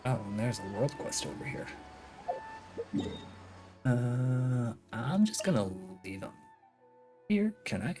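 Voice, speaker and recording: A man's voice: mid-range voice, very animated, slow pace, pauses often. A man giving casual live commentary as he streams a video game. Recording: game music clearly behind voice. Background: video game music.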